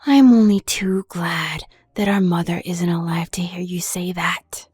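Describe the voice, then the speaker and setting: A woman playing a haughty, manipulative younger werewolf sister from a noble family in an audio drama, speaking with condescending, needling smoothness. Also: lowish voice, fairly even intonation, a little slow, darkish tone. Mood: cold, taunting. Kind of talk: scripted audio roleplay drama.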